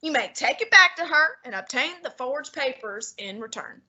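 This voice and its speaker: Girl doing a snooty bossy detective guy, high and bright, clipped and dismissive. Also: animated, normal speed, breathy edge, dull audio, heavily acted. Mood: scornful. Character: pompous, imperious middle-aged male detective. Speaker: high school drama student. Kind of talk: amateur radio play acting.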